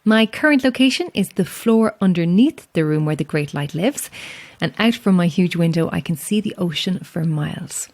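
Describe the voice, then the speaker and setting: An actress playing a chatty, self-deprecating lighthouse keeper in an audio drama, friendly and a little wistful, with hesitant asides. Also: female voice, lowish voice, expressive, moderate pace, a little mellow. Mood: proud presenting. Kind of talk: naturalistic audio drama acting.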